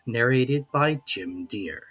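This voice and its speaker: A man narrating a classic children's story in an expressive, theatrical reading style. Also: medium pitch, takes it slowly, faint music behind.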